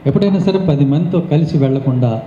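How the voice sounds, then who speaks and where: Man, public speech, very dark soft-edged tone, conversational explaining, muffled echoey hall recording. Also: fairly high pitch, quick pace, slight background noise. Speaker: chief guest speaker. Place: meeting hall.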